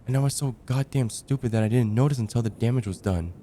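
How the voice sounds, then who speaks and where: A man playing a lovestruck young heartbreaker friend in an ASMR roleplay, speaking in an earnest, halting, stammering way. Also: medium pitch, mellow, slight background noise, natural voice. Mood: regretful, vulnerable. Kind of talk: ASMR roleplay, intimate dramatic monologue.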